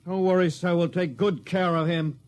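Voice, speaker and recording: High-voiced, dutiful radio junior officer man acting. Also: steady tone, moderate pace, natural voice. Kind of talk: 1950s radio drama acting. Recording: very noisy vintage radio recording.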